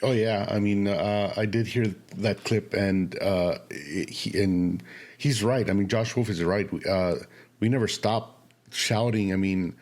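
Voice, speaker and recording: A hoarse man co-hosting a podcast, rambling casually with many fillers. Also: on the low side, fairly even intonation, a little slow, a little mellow. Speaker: podcast co-host. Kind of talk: casual chat.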